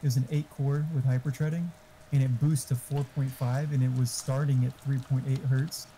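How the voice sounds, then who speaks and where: A man's voice: mid-range voice, flat delivery, moderate pace, warm tone, poor audio. A man chatting casually over an online game, talkative and profane, explaining tech. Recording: faint background noise.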